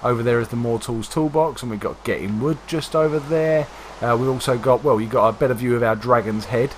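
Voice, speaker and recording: A man hosting a game livestream, hoarse and congested from a cold, chatting casually with many fillers. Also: medium pitch, fairly quick, slight background noise. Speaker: livestream host. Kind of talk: casual gameplay commentary.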